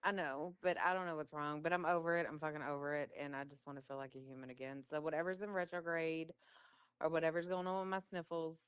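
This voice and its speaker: A woman's voice: sits low, flat delivery, quick pace, clear, resonant tone, no room sound, highs cut off. A middle-aged woman co-hosting a podcast in casual chat, grumbling that she's tired and swearing casually. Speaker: podcast co-host.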